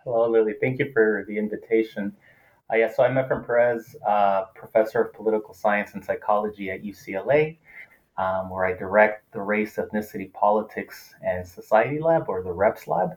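A man's voice: on the low side, brisk, poor audio. A middle-aged man from Los Angeles, a professor whose first language is Spanish, speaking as a guest in a casual interview, hesitant with many fillers and restarts.

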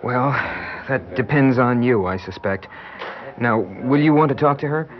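An actor playing an earnest, patient doctor in a radio drama, gently insistent and deliberate as he speaks. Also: mid-range voice, animated, close to an everyday voice. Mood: businesslike. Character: earnest, patient male doctor breaking grim news. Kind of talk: old-time radio drama acting. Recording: dull, hissy classic radio recording.

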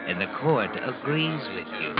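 A man acting as an ominous, accusing narrator in a 1940s radio mystery, speaking to the guilty in a knowing, deliberate way. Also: mid-range voice, big pitch swings, close to an everyday voice. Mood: taunting, knowing. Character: ominous all-knowing male storyteller taunting the guilty. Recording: dull old 1940s radio recording, music behind.

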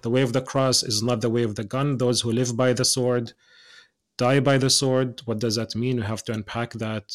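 A young man from the West Bank talks as an interview guest with an earnest, passionate drive. He often breaks off to restart his sentences and sometimes sinks into soft, quieter asides. His pitch sits in the middle range. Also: flat delivery, moderate pace. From West Bank, Palestine. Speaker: podcast guest.

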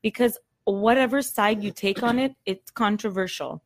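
A woman's voice: medium pitch, normal speed. Chatty middle-aged woman, easy laugh. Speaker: group moderator on a talk show. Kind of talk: casual group chat.

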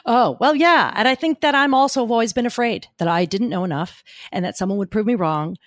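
A woman guest with a gruff, gritty voice, talking emphatically and animatedly in a podcast interview. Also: on the high side, brisk, no room sound, studio quality. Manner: emphatic, argumentative, animated storytelling. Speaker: guest speaker coach.

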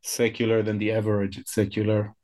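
A man's voice: fairly low pitch, monotone, brisk, darkish tone. A man, Hebrew speaker, giving reflective interview answers as a guest scholar. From Israel. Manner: reflective, searching for words.

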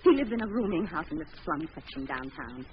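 Casting: devoted, pleading young woman, very expressive, halting, dull vintage radio drama sound. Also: low voice. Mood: troubled. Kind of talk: 1940s radio melodrama acting. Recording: dull, hissy old radio recording.

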